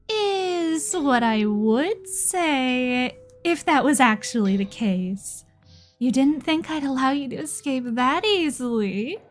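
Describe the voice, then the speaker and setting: A woman's voice: fairly high pitch, a little mellow. A woman voicing an obsessive, possessive young dating-sim girl in an ASMR roleplay, sweetly menacing and teasing.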